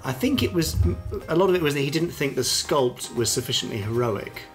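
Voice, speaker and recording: A man co-hosting a podcast in a casual, self-mocking chat, quick to laugh. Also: mid-range voice, expressive, soft background music. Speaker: podcast co-host.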